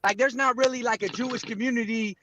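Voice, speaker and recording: A Californian man rambles loosely among friends, swearing freely, with a strikingly high voice. The chatter of other people is clearly heard around him. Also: fairly even intonation, quick pace. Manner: loose, rambling, curse-heavy. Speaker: podcast co-host. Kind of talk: casual group chat.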